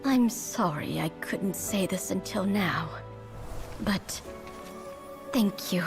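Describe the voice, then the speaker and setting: Music swells behind a tender old lady from a video game. She speaks slowly and deliberately, with a kindly, grateful gentleness. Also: medium pitch, creaky. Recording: music under the voice.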